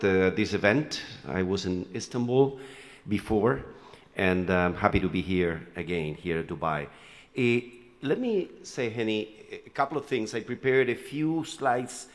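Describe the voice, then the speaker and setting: A man's voice: on the low side, in a large hall. A man from Chile speaking on a conference panel, loose and conversational, with many fillers and restarts as he talks through his slides.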